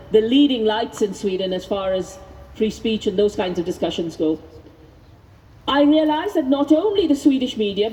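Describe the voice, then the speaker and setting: A woman reading a speech aloud at an outdoor vigil, speaking into a microphone in a measured, deliberate way. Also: high-pitched, dark tone. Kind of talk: public speech read aloud at a rally. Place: outdoors at a vigil by prison gates. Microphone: handheld microphone. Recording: noisy outdoor PA with long echo.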